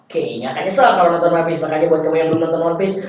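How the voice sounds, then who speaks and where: A woman's voice: deep voice, steady tone, rapid-fire, darkish tone, slight background noise. A woman hosting a casual podcast in a chatty, informal way. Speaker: podcast host. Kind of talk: casual podcast chat and sign-off. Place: podcast studio. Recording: very echoey room, distant muffled mic.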